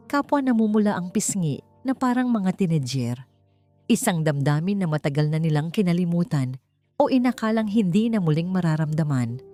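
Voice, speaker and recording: Low, mellow Filipino woman narrating. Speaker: audiobook narrator. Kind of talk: dramatic scripted story narration.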